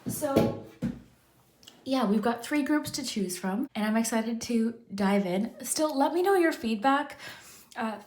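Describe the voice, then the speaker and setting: A woman hosting a pick-a-card tarot reading, chatty and rambling, and a little hoarse from losing her voice. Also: on the high side, expressive, unhurried, darkish tone. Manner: chatty, rambling, frequent "kind of" and restarts. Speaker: tarot reader, channel host. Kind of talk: casual pick-a-card tarot chat to camera.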